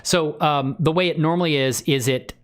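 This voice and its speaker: A man hosting a casual live software tutorial, exclamatory and given to interjections. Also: fairly high pitch, normal speed. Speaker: livestream tutorial host.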